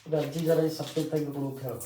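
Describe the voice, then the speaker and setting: A man's voice: a little high, steady tone, racing, mellow. A man with a North Karnataka Kannada accent chats casually as a father at home, giving informal instructions. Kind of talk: casual family chat. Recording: roomy, noisy, distant home-video audio with clatter.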